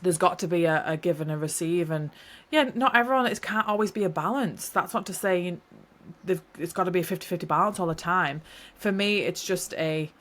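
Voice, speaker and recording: A woman's voice: lowish voice, a little fast, fairly bright tone. A young woman hosting a solo podcast, a little nasal and stuffy from hay fever, talking in an earnest, rambling, heartfelt way. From New Zealand. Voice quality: slightly nasal. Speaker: podcast host. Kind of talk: casual solo podcast talk.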